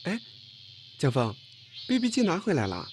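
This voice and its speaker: 男声演绎的泼辣爱逗人的年轻女同事，音调起伏大. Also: male voice, on the high side, huge pitch swings, a little mellow, slight background noise, voice pushed up. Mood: surprised, curious. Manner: mocking, quick to pout and scold. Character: brash teasing young woman colleague, playful and bossy. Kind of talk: audiobook character dialogue.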